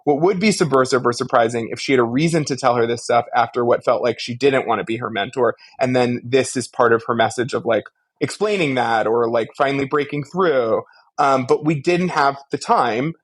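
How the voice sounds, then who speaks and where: Guy cohosting a podcast, average pitch, breaking stuff down in an articulate emphatic way, casual critique. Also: brisk. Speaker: podcast co-host. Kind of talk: casual media discussion and critique.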